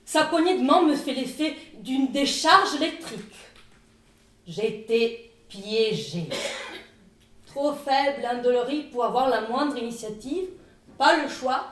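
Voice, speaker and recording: High-pitched Italian-accented woman on stage. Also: takes it slowly. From northern Italy. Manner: dramatic, expressive delivery. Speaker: stage actress performing a one-woman show. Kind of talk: theatrical monologue, comic first-person storytelling. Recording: echoey room, distant microphone.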